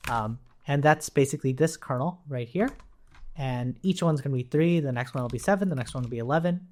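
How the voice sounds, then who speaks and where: A male programming instructor with a medium-pitched voice walks through code in an informal tutorial style. He thinks aloud as he goes, explaining technical details casually, with computer keyboard typing behind him. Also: fairly quick, darkish tone, a little hiss or hum. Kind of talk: casual live coding walkthrough with explanations.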